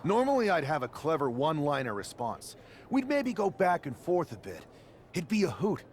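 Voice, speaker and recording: Cocky, animated young wrestler. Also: male voice, a little high, moderate pace, slight background noise. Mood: wry. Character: cocky, wisecracking young male wrestler demanding answers. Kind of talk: video game cutscene acting.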